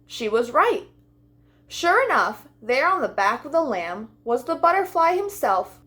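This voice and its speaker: A woman reading a children's storybook aloud as a storytime host, with expressive, deliberate delivery for young listeners. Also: high voice.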